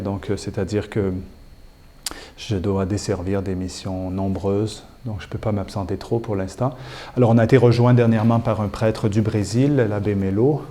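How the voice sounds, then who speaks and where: A man's voice: on the low side, dark tone. A man, a bishop answering interview questions with many hesitations and restarts. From Canada. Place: chapel.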